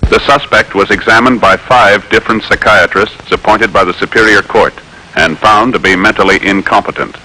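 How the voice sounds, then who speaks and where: An actor as a solemn American announcer, reading formal, deliberate deadpan narration in 1950s broadcast style. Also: male voice, on the low side. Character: solemn official announcer of trial results. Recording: faintly noisy old broadcast recording.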